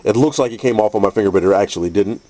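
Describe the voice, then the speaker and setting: A man with a stuffy, congested voice from a cold, calmly explaining a painting technique step by step with frequent restarts. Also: lowish voice, racing. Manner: calm, methodical, with frequent restarts. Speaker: custom figure painter teaching. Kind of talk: painting tutorial narration.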